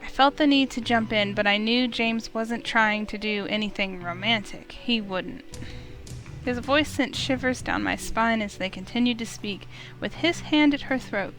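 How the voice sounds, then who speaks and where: A woman with a fairly high voice reads first-person game narration aloud. She tells it as a dramatic story, in the manner of a let's play. Music plays behind her. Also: normal speed, a bit bright. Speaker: let's player reading the heroine's narration aloud.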